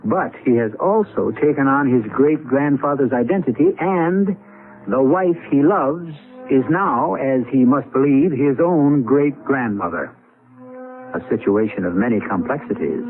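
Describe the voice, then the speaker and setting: A man hosting and narrating a mystery radio drama in a measured, theatrical, rhetorical manner. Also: medium pitch. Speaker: host and narrator. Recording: tinny vintage radio recording, music underneath. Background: music.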